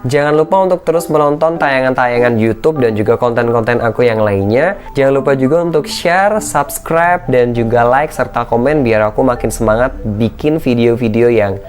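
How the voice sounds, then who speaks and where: A man with a thin, shrill voice, giving an informal, encouraging educational talk as a content creator, slipping in English phrases. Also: normal speed, few pauses, faint music behind. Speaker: content creator and public speaking coach. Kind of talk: casual motivational educational vlog talk.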